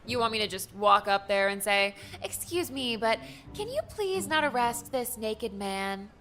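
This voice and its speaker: A woman's voice: a little high, expressive, measured pace, a bit bright. A woman playing a sarcastic, feisty young female adventurer with a blunt, informal manner in an audiobook. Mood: exasperated, sarcastic. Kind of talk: audiobook character dialogue.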